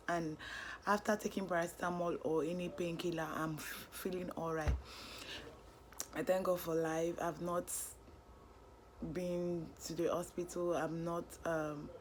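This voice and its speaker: A woman's voice: lowish voice, a little hiss or hum, poor audio. A young woman with a Nigerian accent chatting casually to her vlog viewers, upbeat and talkative. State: hoarse, lost voice, tired. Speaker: vlogger. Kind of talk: casual vlog chat to camera. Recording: music playing under the voice.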